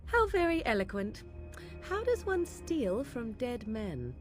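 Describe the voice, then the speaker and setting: Game voice: slow, haughty witch of the wilds, archaic speech, lilting swings in pitch. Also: very animated, soft background music. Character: aloof mocking young witch woman of the wilds. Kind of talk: video game voice acting.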